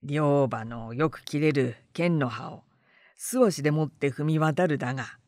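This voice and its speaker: A woman voicing a crafty, gruff male rogue in a storybook reading, blunt and speaking rough rural dialect. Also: deep voice, a little slow, darkish tone, no echo, clean studio sound, pitched below the performer's own voice. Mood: sly, explaining.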